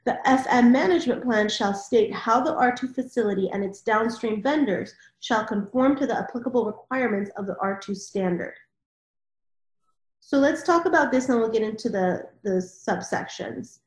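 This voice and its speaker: A woman's voice: on the high side, normal speed. A woman moderating a panel discussion and reading out formal requirements. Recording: roomy, echoing sound.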